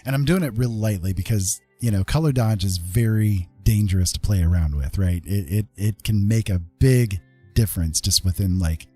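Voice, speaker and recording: A man with a deep, dark, slightly rough voice teaches digital art on a casual stream. He is informal and easygoing, tagging his points with little check-in questions. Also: moderate pace, soft background music. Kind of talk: casual live tutorial talk.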